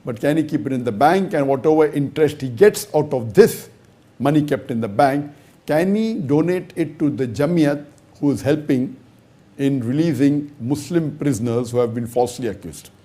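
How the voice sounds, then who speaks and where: Emphatic lecturing man, mellow tone. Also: on the high side, moderate pace. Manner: emphatic, methodical reasoning with rhetorical questions. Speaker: Islamic scholar answering audience questions. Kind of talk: lecture-style question and answer.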